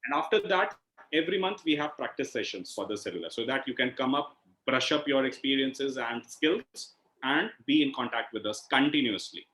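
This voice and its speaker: A man's voice: mid-range voice, animated, normal speed, fairly bright tone. Echoey Indian man teaching on a call. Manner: didactic, ends points with a questioning "right?". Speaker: healing instructor and session host. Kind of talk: explanatory online talk with questions and answers. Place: online video call. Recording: echoey room over video call.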